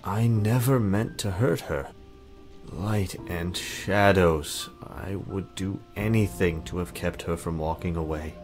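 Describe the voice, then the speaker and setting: A man acts out a brooding visual novel hero with a low voice. He speaks solemnly and formally, protective and full of regret. Music plays clearly behind him. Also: takes it slowly, a little mellow. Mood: remorseful. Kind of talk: visual novel dialogue read aloud.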